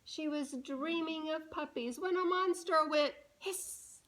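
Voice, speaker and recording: A woman reading a storybook aloud to young children, animated and deliberate, pausing to put questions to her listeners. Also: high voice.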